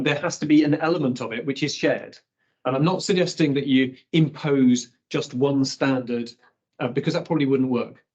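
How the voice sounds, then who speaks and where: A man's voice: mid-range voice, a little mellow, highs cut off. A man answering on a panel discussion, thinking aloud and repeating words as he builds each point.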